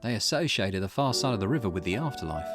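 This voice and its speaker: A man's voice: fairly low pitch, sing-song, fast talker, music in the background. A man voicing a shrewd, confident male investigator in a visual novel, speaking in a conversational, explanatory way. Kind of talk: reading visual novel dialogue aloud.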